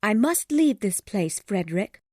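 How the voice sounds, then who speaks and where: A man's voice: high-pitched, sing-song, a little slow, darkish tone, dry recording, pitched above the performer's own voice, exaggerated voice. A man reading a story aloud, voicing an anxious, sorrowful young woman in a pleading tone. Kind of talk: audiobook character voice.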